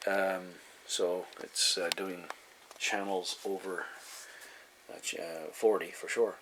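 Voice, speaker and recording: A man giving a casual, unscripted show-and-tell in a halting, meandering way, often repeating himself. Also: sits low, level tone. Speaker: hobbyist collector presenting his radio. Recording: clear background noise, room ambience.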